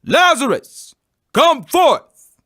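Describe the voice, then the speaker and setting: A man's voice: extremely high pitch, very animated, extremely slow, pushed and bright, no room sound, studio quality, pitched above the performer's own voice, heavily acted. A man voicing a fervent, authoritative holy teacher with commanding declamation in a dramatized scripture reading.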